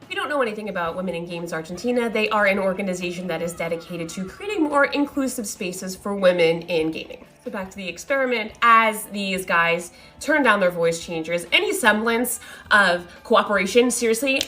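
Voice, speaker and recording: A woman's voice: medium pitch, lively intonation, normal speed, bright, forceful tone, faint music behind. A woman presenting conversational commentary, with wry asides and rhetorical questions.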